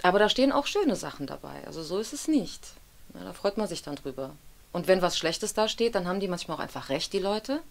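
A woman's voice: fairly low pitch, very animated, fast, vocal fry. A woman in her thirties, a voice actress, talking casually and candidly in a studio interview, with a self-deprecating streak. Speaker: audiobook narrator and dubbing actress being interviewed. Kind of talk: casual, reflective interview talk. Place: indoor studio with dark backdrop.

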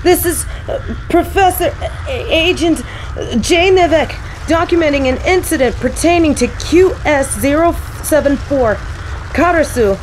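A woman playing an earnest, shaken professor and field agent in an audio roleplay, speaking in a halting, breathy, fretful way. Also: high-pitched. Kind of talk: dramatic audio roleplay acting. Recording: noisy outdoor ambience with cawing crows.

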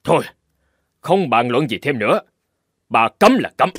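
Man acting a stern, animated cartoon father. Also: medium pitch, a little slow, background noise. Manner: commanding, emphatic, scolding. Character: stern, stubborn middle-aged Vietnamese village father. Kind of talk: animated cartoon drama dialogue. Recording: music behind the voice.

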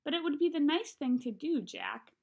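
Woman doing a coaxing storybook mom, gently insistent, really animated pitch. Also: moderate pace, gravelly. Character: earnest, coaxing mother of a young boy.

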